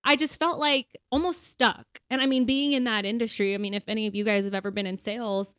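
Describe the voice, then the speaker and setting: A young woman in her twenties co-hosting a podcast, talking candidly and quickly with lots of fillers. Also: on the high side, steady tone, fairly bright tone, smooth, dry recording, clean studio sound. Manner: candid, talks fast with many "like" fillers. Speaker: podcast co-host. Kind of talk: casual chat.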